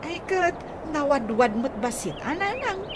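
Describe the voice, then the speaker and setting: A woman's voice: high voice, big pitch swings, a little slow, background noise. A woman playing an eager, dutiful young student daughter in a radio comedy drama, with an Ilocano accent. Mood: earnest.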